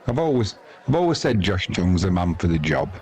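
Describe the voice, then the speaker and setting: A man's voice: low voice, big pitch swings, brisk, a little mellow, a faint crowd. A man with an English accent giving casual cricket commentary in a chatty, dry-humoured, blunt and slangy way. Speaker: live sports commentator and host.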